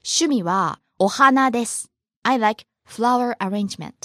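A woman from Japan, teaching on a bilingual podcast language lesson and reading example phrases in a lively way. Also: a little high, normal speed, no echo, clean studio sound. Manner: lively, gives example phrases and chuckles along.

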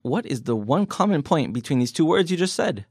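A man's voice: a little high, sing-song, a little fast, a little mellow, breathy edge, no room sound. Man explaining, dry close sound. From United States. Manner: explanatory, asks leading questions, light jokes. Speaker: podcast co-host. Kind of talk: bilingual podcast language lesson.

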